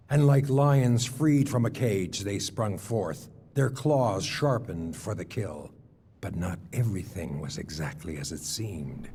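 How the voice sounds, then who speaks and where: An actor playing a grave storyteller of ancient war legends in a video game, narrating in a solemn, measured, dramatic way. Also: male voice, sits low, creaky, a little hiss or hum, full character voice. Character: grave male storyteller recounting the Trojan War. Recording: loud music under the voice.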